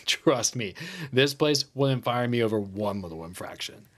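An actor playing a chummy, self-important high school teacher, an American man with a casual, hesitant way of talking, in a naturalistic audio drama. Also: expressive, normal speed, a bit bright. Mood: defensive, smug. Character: chummy, vain male high school teacher, former homecoming king.

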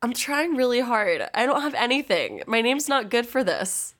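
A woman's voice: fairly high pitch, sing-song, normal speed, fairly bright tone. A young woman grad student co-hosting a podcast, stuffy from a head cold, joking and punning in casual chat. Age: young adult. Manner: joking, punning, laughs often. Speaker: podcast co-host.

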